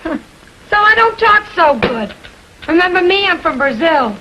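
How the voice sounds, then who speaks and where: A sharp-tongued woman in a 1940s TV crime drama. Her voice is high and very animated, brassy and quick to bristle. The old film soundtrack carries some hiss. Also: exaggerated voice, low-quality recording. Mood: defiant. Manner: brassy, slangy, combative. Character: sharp-tongued, hot-tempered woman from Brazil.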